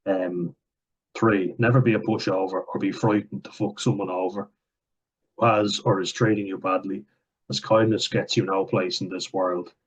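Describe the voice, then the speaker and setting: A man's voice: low-pitched, steady tone, normal speed, husky. A young man reading out viewer comments and answering them in blunt, sweary casual chat, with frequent fillers. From Ireland. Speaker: vlogger. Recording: dull lo-fi recording.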